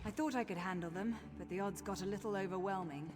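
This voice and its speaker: An actress playing a brave, protective orc high priestess in a video game, resolute and earnest in her delivery. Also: fast talker, lightly acted. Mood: winded, grateful. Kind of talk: dramatic video game voice acting. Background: game music.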